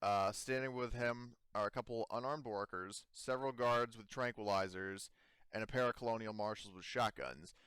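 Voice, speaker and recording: Low, smooth-voiced man running a game. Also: normal speed, fairly bright tone, dry recording. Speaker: game master. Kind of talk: narrating a tabletop game session.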